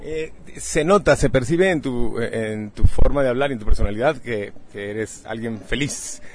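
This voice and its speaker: Male host, calm questioner, average pitch, slight noise in the background. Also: moderate pace. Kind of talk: interview.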